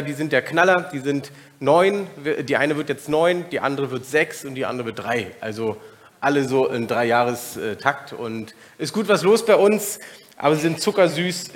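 Guest preacher, German man in his thirties, slightly high voice, loose and chatty with a congregation. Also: a bit bright. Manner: informal and personal, many filler sounds. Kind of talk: church talk to a congregation.

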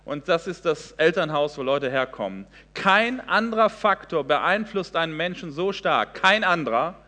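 A man in his forties with a high, bright voice preaches a sermon. He speaks earnestly and emphatically, pausing on fillers and restarting sentences. The sound carries a long hall reverb. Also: animated. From Hesse, Germany. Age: 40s. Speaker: guest preacher.

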